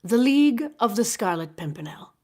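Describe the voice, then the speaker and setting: A woman narrates a story as an audiobook reader. Her voice is on the high side and her delivery is expressive, in a storybook style. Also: moderate pace.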